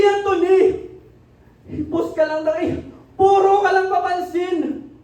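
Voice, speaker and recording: Actor doing moody hot-tempered filipino student son in a radio play, very high voice, echoey room. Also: darkish tone. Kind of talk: amateur radio drama acting. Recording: echoey room, distant microphone.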